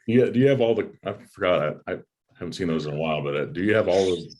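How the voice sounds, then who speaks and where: A man hosting a movie review chat in a casual, halting way, often repeating his words. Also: low voice, a little mellow, slight background noise. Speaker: host of a movie review channel. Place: home room with a desk, on a video call.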